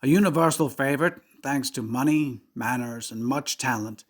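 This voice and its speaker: A man's voice: medium pitch, normal speed. A man reading scripted literary narration in an audio drama.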